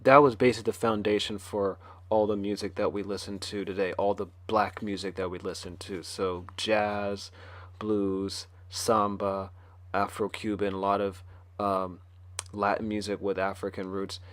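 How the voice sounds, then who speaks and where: Low, even-voiced man giving a halting talk. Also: dry recording. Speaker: conference speaker. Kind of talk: informal lecture.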